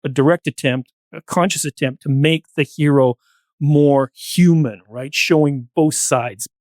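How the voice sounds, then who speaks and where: A man speaking as a podcast guest in a thoughtful discussion, explaining ideas with halting pauses. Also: a little high, a little mellow, no room sound. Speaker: podcast guest, playwright and actor.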